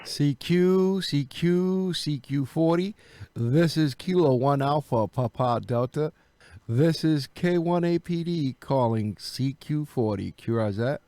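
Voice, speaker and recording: A man's voice: on the high side, takes it slowly, mellow, no echo. A man who is an amateur radio operator, chatting casually and making radio calls. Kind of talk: casual chat and radio calling with phonetic alphabet.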